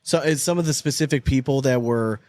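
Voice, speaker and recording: A man's voice: medium pitch, fairly quick, a little mellow, dry recording. A man hosting a casual sports podcast chat, talking in a blunt, emphatic way and swearing freely. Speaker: podcast host.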